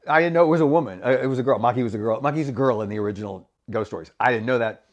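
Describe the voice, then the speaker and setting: A man's voice: medium pitch, rapid-fire, highs cut off. A man, a voice actor, talking frankly in a casual vlog monologue, laughing often. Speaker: voice actor and vlogger.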